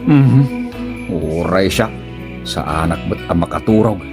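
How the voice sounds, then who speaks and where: A man's voice: low voice, animated, measured pace, music in the background. A man with an Ilocano accent playing a vain, sly village husband in a comedy radio drama, teasing and self-satisfied. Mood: defensive.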